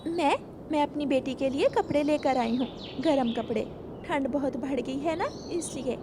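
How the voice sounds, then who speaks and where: A woman voicing a gentle, modest mother bird in a children's cartoon, speaking softly and earnestly. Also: sits high, moderate pace, background noise, natural voice. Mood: hesitant.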